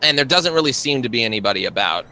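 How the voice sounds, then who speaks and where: A man with a bright, forward voice narrates a tabletop horror game as its game master. His pitch sits in the middle range, and he feels his way through descriptions, repeating phrases as he builds the scene. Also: animated, fast, gravelly, low-quality recording.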